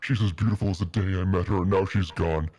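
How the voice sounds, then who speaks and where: Very deep-voiced man, melodramatic reading. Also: steady tone, fast talker, warm tone. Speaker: streamer reading fan fiction. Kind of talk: dramatic narration with casual asides.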